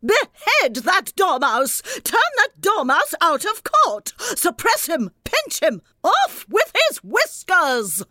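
Man putting on a shrill, shrieking tyrant queen. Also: huge pitch swings, unhurried, hardly any pauses, sharp tone, no room sound, clean studio sound, heavily acted. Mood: furious. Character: shrieking, tyrannical storybook queen, quick to condemn.

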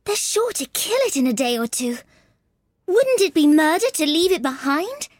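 Prim little storybook girl, played by a man, very high falsetto pitch, lively swoops, polite, earnest, prissy. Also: normal speed, dry recording, clean studio sound. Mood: worried. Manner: prissy, carefully proper speech.

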